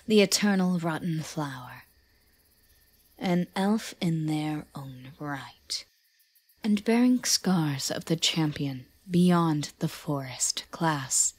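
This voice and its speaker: A woman playing a regal, possessive yandere elven queen in an audio drama, breathy and often whispery, with a solemn, intimate, measured delivery. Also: sits low, darkish tone, dry recording, full character voice. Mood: proclaiming. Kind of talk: slow roleplay audio drama.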